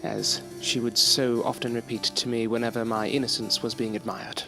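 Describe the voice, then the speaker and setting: A man playing a wistful, educated gentleman narrating his memories in an audio drama, formal and earnest. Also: medium pitch, little pitch movement, moderate pace, over music, lightly acted. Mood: wistful, reflective. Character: wistful, erudite gentleman recalling his student days.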